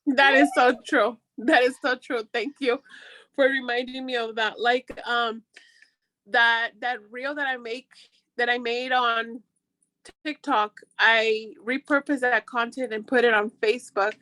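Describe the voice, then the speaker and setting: A woman's voice: fairly high pitch, steady tone, measured pace, bright, forceful tone, no room sound. A woman from Mexico whose first language is Spanish, talking casually and encouragingly in a workshop. She talks in a chatty way and often restarts her sentences.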